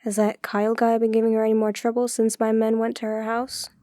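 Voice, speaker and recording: A woman acting a guarded, sharp-tongued, hurt ex-girlfriend in an audio drama, with a curt, sardonic manner. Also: a little high, monotone, fairly quick, dry recording, studio quality, lightly acted. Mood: evasive, light.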